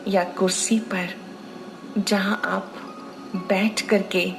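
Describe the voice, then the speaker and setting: A woman guiding a meditation as a spiritual teacher, speaking in an unhurried, devotional and instructive manner. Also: mid-range voice, poor audio. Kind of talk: guided meditation commentary.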